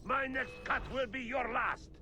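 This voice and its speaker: A man's voice: very high-pitched, steady tone, unhurried, creaky, over music, full character voice. A man playing a proud, fanatical Byzantine Templar commander in a video game, with grandiose, commanding oratory. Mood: furious. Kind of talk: dramatic video game acting.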